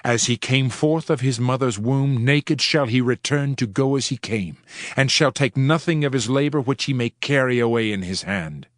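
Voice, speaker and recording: A man giving a dramatized scripture reading in a solemn, measured, rhetorical delivery. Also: mid-range voice, dry recording, clean studio sound. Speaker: scripture narrator. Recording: background music under the voice. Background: music.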